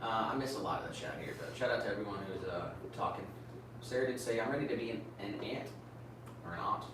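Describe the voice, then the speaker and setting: Relaxed man reading chat aloud, lowish voice; distant mic, very echoey room, noisy. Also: fast talker. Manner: relaxed, reads viewer comments aloud. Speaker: livestream co-host reading chat. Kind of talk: casual livestream chat. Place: pottery studio.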